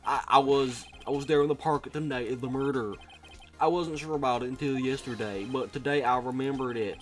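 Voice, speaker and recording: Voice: mid-range voice, fairly quick, soft background music, natural voice. A streamer voicing a frantic, earnest, goofy young man bursting to testify in a courtroom game.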